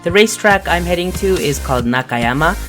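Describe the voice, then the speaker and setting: A young man with a hoarse voice from a cold, chatting loosely through a travel vlog with lots of fillers. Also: a little high, animated, fairly quick, music in the background. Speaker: vlogger. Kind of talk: casual travel vlog narration.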